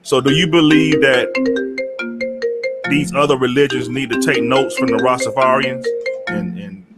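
A man hosting a video call, asking long questions haltingly with many restarts. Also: mid-range voice, steady tone, loud music behind the voice. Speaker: host. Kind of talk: casual discussion.

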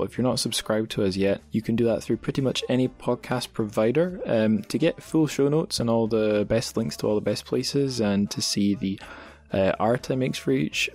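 A man hosting a podcast, chatting casually in a rambling way with wry asides. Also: lowish voice. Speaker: podcast host. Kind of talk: casual literary podcast chat.

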